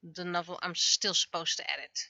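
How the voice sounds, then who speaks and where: A woman's voice: on the low side, little pitch movement, brisk, gravelly, sharp tone. A woman livestreamer chatting casually while she draws, often muttering to herself as she works. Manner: mutters to self while working. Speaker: livestreamer and webcomic artist.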